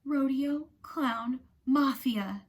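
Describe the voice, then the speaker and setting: A woman's voice: high voice, very slow, pitched above the performer's own voice, exaggerated voice. A woman voicing a curious, joking, easily deflated young schoolboy with a light, questioning manner in a storybook reading. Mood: teasing.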